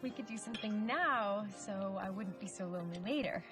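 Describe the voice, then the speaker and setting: An actress playing a sweet, wistful wife who gives in easily, hesitant and pleading, in a comedy film. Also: female voice, medium pitch, a little fast, close to an everyday voice. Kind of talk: live-action comedy film acting. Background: music.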